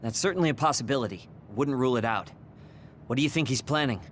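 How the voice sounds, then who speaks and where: A man's voice: medium pitch, fast talker, a little hiss or hum, lightly acted. An actor playing a blunt, prickly young man in an anime video game dub. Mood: questioning.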